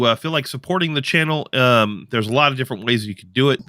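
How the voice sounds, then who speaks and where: A man's voice: medium pitch, bright, forceful tone, dry recording, clean studio sound. A man co-hosting a livestream in casual, informal chat with a joking streak. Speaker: podcast co-host.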